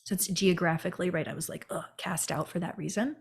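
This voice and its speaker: A woman hosting a podcast, telling her own story in an earnest monologue and often turning to the listener with a quick "right?". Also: fairly low pitch, fairly even intonation, husky. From United States. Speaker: podcast host.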